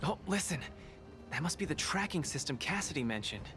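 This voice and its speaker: A man's voice: on the high side, sing-song, brisk, music in the background. A man playing an upbeat, confident young fighter in a video game, breezy and friendly with his slang. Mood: excited.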